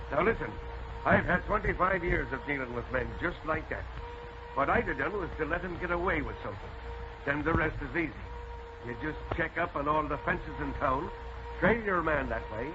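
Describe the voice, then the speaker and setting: A man as a blustery veteran police sergeant, higher-pitched. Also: animated, moderate pace, music in the background, natural voice. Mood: blustery, knowing. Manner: blustery, folksy. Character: gruff veteran male police sergeant, folksy, middle-aged. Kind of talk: 1940s radio acting. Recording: noisy muffled old radio recording.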